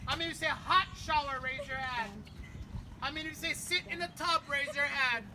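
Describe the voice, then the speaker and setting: A woman's voice: sits high, fairly quick. A woman leading a family memorial outdoors at a beach, quizzing the crowd playfully and then praying. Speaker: memorial service leader. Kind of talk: family memorial address with audience games. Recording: outdoor ambience with clear traffic noise.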